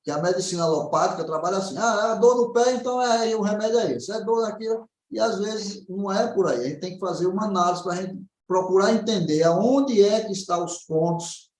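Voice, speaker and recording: A man's voice: high-pitched, a little fast, few pauses, a little mellow. A Brazilian man speaking as a naturopath, explaining informally and often checking that the listener follows. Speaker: naturopath answering questions. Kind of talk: informal health consultation. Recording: roomy echo.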